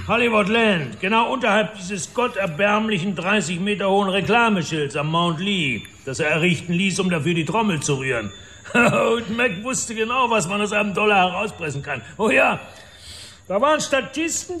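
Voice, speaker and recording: An actor in a German radio drama playing an older, boastful, domineering self-made property developer who talks in a gruff, swaggering way. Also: male voice, high voice, sharp tone, slight background noise, exaggerated voice. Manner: gruff, swaggering, coarse storytelling.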